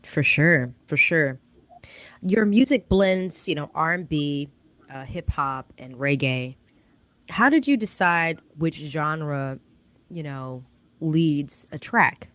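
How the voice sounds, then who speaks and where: Deep-voiced woman interviewer, dry sound. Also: lively intonation, slow, dry recording. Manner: warm, affirming, rambling questions. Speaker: interview host. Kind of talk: podcast interview.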